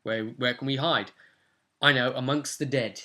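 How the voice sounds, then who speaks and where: A man's voice: mid-range voice, steady tone, moderate pace, bright, forceful tone. A young man casually recapping a tabletop role-playing session as game master. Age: young adult. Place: small furnished room at home.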